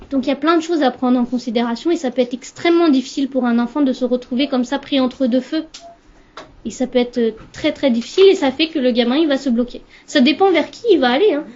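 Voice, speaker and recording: A young woman whose first language is Urdu, talking reflectively in an interview and often pausing to search for words. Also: sits high, a little mellow. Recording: slightly muffled recording.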